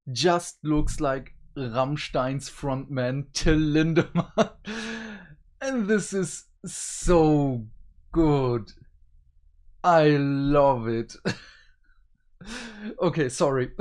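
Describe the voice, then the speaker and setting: Slow-talking man in casual review chat. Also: a little high, a little mellow. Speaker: reviewer, co-host.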